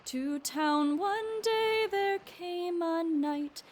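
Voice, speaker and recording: Very high-voiced proper noblewoman singing slowly. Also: full character voice. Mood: solemn. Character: timid, proper young noblewoman singing a ballad. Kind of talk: slow unaccompanied ballad singing. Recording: roomy, long reverb, faint music.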